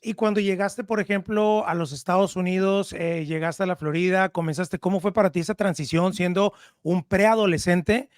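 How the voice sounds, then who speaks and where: A man's voice: sits high, steady tone, normal speed, no room sound, studio quality. A man hosting a casual interview, chatty and informal, putting questions to his guest. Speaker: podcast host, interviewer.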